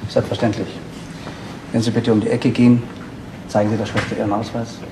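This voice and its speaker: An actor playing a guarded, matter-of-fact hospital doctor in a 1970s radio crime play. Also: male voice, fairly low pitch, level tone, brisk, natural voice. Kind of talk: 1970s German radio crime drama acting. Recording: reverberant, noisy vintage radio play.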